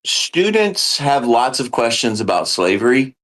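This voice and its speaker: Man, history teacher type, earnest explainer, middle pitch. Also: sing-song, unhurried, a bit bright. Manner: earnest and explanatory, with many fillers. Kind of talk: casual podcast discussion.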